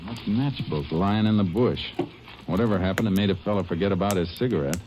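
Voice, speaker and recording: An actor playing a steady, methodical Texas Ranger detective in an old radio drama, speaking calm and low. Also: male voice, fairly quick, noisy background, close to an everyday voice. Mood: level-headed. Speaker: lead actor. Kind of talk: 1950s radio drama acting. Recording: muffled old radio recording.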